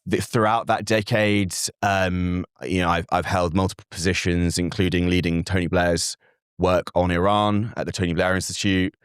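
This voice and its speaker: A young man, a native Farsi speaker raised in Britain, answering as a guest analyst in an earnest, measured way with frequent fillers and restarts. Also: low voice, flat delivery, clean tone, no echo, clean studio sound. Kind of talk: interview answers.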